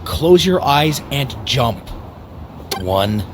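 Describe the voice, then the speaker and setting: A woman playing a bold, reckless young boyfriend in a game cutscene, speaking firmly and deliberately. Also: a bass voice, lively intonation, noisy background, exaggerated voice. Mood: urgent, determined. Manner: firm, deliberate.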